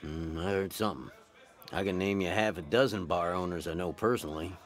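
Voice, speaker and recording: An actor playing a shrewd, world-weary bar regular in a radio drama, a knowing and probing friend. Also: male voice, sits low, fairly quick. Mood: knowing, concerned.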